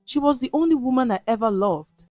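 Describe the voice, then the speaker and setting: A woman voicing a frail, regretful old man, a chief near death, in a storybook tale, speaking weakly and haltingly. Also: fairly high pitch, animated, darkish tone, dry recording, soft background music, voice pushed up, close to an everyday voice. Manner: weak, halting, confessional. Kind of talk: storybook narration dialogue.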